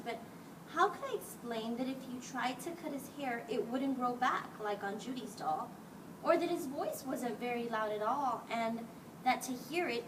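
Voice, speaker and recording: A woman reading a picture book aloud to children as a teacher, expressively and acting out the dialogue. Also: a little high. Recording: distant mic, clearly noisy background.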